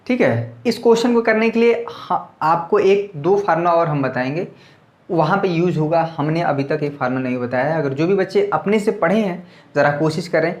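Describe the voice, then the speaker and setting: A man's voice: fairly high pitch, normal speed. Chatty male maths teacher, echoey room. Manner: chatty, repeats checks like "clear?" to students. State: sore throat. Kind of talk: online maths lesson at a board.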